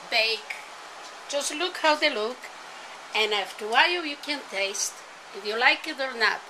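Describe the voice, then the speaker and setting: An older woman with a Polish accent hosts an informal home cooking demonstration, speaking in simple, halting non-native English phrases. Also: fairly high pitch, animated, pushed and bright. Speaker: home cooking show host. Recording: noisy amateur home video.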